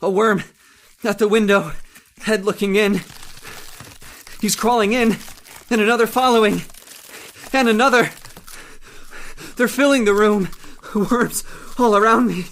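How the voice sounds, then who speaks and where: A terrified man on the edge of madness played by a male actor in an old-time horror radio drama. His voice sits fairly high for a man. He speaks in halting, repetitive bursts with heavy melodrama and haunted guilt. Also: fairly bright tone. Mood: panicked terror.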